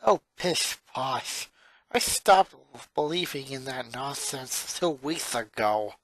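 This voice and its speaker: A performer voicing a four-year-old girl genius from a cartoon fan story, monotone and sarcastic, with pedantic, wordy phrasing. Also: medium pitch, slow, no echo, rough recording. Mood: matter-of-fact.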